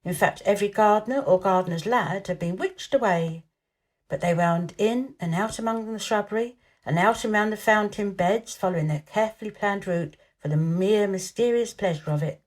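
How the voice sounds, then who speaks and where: A woman storyteller giving an expressive scripted narration of a children's novel. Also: medium pitch, normal speed.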